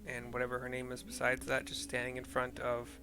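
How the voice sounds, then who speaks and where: A man's voice: mid-range voice, monotone, fairly quick. A man streaming a video game, casually describing scenes aloud and often searching for words. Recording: clear game music behind voice. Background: game music.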